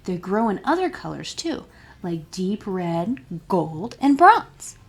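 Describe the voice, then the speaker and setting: Woman reading aloud with lively, swooping pitch. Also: slow pace, gravelly. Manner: expressive, addressing young listeners with questions. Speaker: children's librarian reading storytime. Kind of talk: read-aloud of a children's picture book.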